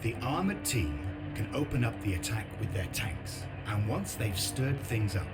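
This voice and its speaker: An actor playing a grim, sardonic resistance commander in a video game, with a blunt, dry, determined delivery. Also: male voice, on the low side, very animated, moderate pace, over loud music. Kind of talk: video game cutscene narration and briefing.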